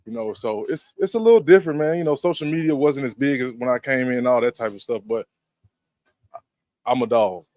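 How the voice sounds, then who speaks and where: A man's voice: mid-range voice, expressive, a little fast, darkish tone, dry recording, clean studio sound. A football player in his thirties speaking in a press interview, confident and boastful in a laid-back way. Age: 30s.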